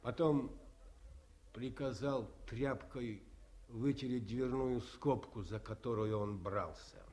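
An actor playing a stern middle-aged army colonel who tells stories with sardonic bite, swinging between hushed and barking. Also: male voice, mid-range voice, slow, natural voice. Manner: sardonic storyteller, swings between hush and bark. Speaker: actor in a radio play. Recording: 1950s studio radio recording.

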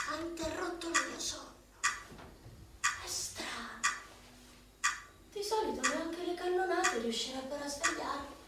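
A young actress plays a jobless, overqualified young woman in a radio drama monologue, moving from brisk reciting to hushed, ironic storytelling. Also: high-pitched, very noisy. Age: young adult. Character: young jobless overqualified female graduate, wry dreamer. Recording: roomy echo, distant mic, music underneath.

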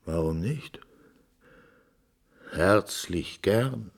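A man's voice: sits low, lively intonation, extremely slow, creaky, full character voice. An actor playing a proud, anguished middle-aged father in a 1950s German radio drama, stern and theatrical, bitterly holding back rage. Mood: bitter despair. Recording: vintage 1950s radio recording.

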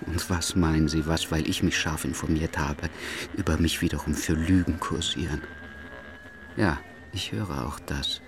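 An actor playing a brooding, paranoid man in a theatrical monologue, speaking with halting, conspiratorial intensity about lies all around. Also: very deep voice, fairly even intonation, crowd noise.

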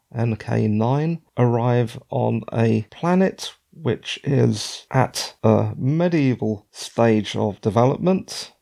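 A middle-aged man hosting a podcast with a hoarse, croaky voice, chatting in a digressive, self-deprecating way. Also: mid-range voice, warm tone. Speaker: podcast host. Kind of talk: casual podcast commentary.

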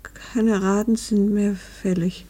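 An actress playing a devoted, weary, aging wife in a breathy, hushed voice, in a 1950s German radio drama. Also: female voice, mid-range voice, flat delivery, slow pace. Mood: hushed grief. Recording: old 1950 radio production.

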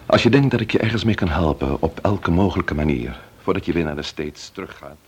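An actor playing a shrewd, courteous police investigator in a Dutch radio play, speaking deliberately and questioning with emphasis. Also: male voice, sits low, animated, darkish tone, husky. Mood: gentle, assured.

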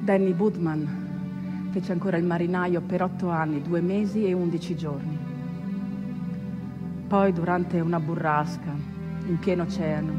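A woman actor delivering a theatrical storytelling monologue, phrasing deliberately and dramatically with pauses. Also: lowish voice. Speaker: stage actress narrator. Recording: echoey reverberant hall, music underneath. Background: music.

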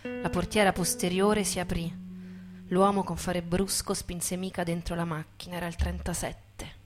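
A woman giving a dramatic, scripted stage narration with intense, measured storytelling. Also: on the low side, flat delivery, faint music behind. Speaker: actress and narrator.

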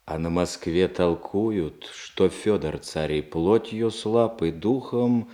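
An actor playing an insinuating, conspiratorial boyar in a classical radio play. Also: male voice, sits low, slow, warm tone. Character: insinuating, conspiratorial boyar plotting against the tsar. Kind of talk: classical stage acting for radio.

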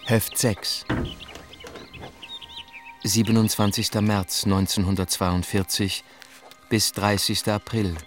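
A man's voice: lowish voice, little pitch movement, slow pace, pauses often, over music. A man announcing sections of a radio play in a sober, matter-of-fact narration.

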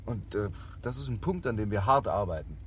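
An actor playing a brisk advertising agency boss in a naturalistic radio drama, probing with clipped questions. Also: male voice, on the low side, lively intonation, slight background noise, natural voice. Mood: musing.

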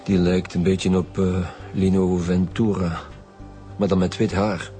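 An actor playing a dry, sceptical police detective with a Flemish accent in a naturalistic radio drama. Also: male voice, low voice, flat delivery, measured pace, mellow, soft background music. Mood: wry. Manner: matter-of-fact, blunt.

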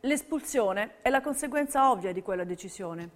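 A woman narrating a documentary theatre piece in a scripted, measured and clearly enunciated delivery. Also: mid-range voice, lively intonation. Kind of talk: scripted dramatic narration, reading testimonies.

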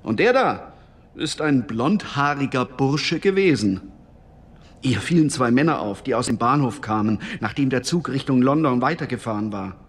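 A man acting a blunt, self-satisfied police constable in a German radio drama, telling things in a halting way. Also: mid-range voice, expressive, slightly rough. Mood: reporting. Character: working-class police constable, matter-of-fact, self-satisfied about grisly work.

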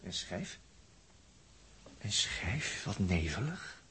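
A man's voice: fairly low pitch, big pitch swings, drawn-out words, pushed and bright, exaggerated voice. An actor playing a down-to-earth, wry spaceship crewman with a Netherlands Dutch accent, in colloquial, grumbling 1960s radio drama style. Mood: uneasy. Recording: husky-sounding old 1960s radio recording.

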